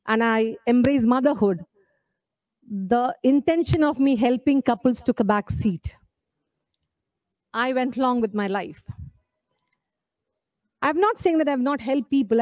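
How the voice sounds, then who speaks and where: A woman's voice: a little high, a little mellow, dry recording, low-quality recording. A woman in her forties from India gives an earnest, emphatic speech at a podium microphone on an indoor stage, asking the audience rhetorical questions. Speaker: author at her book launch.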